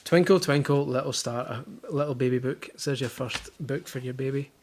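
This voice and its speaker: Gravelly Scottish man, dry jokes. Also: mid-range voice, a little fast, background noise. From Scotland. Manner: dry jokey asides. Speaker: vlogger unboxing a baby box. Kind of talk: casual chat. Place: living room at home.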